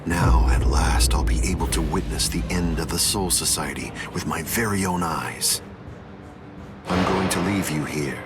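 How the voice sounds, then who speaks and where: Calm arrogant anime game villain, really deep voice, pompous and condescending, music underneath. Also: male voice, measured pace. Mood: gloating. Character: calm, grandiose male arch-villain, coldly arrogant. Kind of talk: anime video game dub acting.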